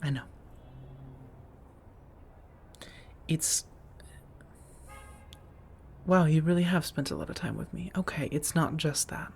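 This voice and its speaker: A woman playing a gentle, guarded, centuries-old vampire roommate in a soft-spoken ASMR roleplay, with measured, formal phrasing and endearments. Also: sits low, animated, gravelly, a little hiss or hum. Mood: hesitant, guarded. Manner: measured, formal wording with endearments, hesitant confessions.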